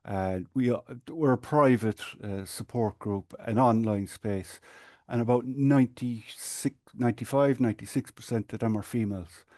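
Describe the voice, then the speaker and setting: An Irish man talks in a measured, explaining way, often restarting a phrase. His voice is mellow at a middle pitch. The sound is dry and close. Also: no room sound. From Ireland. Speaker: guest, support group administrator. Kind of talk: earnest conversational interview.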